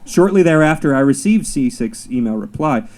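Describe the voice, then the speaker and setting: A man reading his own personal essay at a live literary reading, in a measured, deliberate, wry storytelling way. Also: medium pitch, lively intonation, darkish tone.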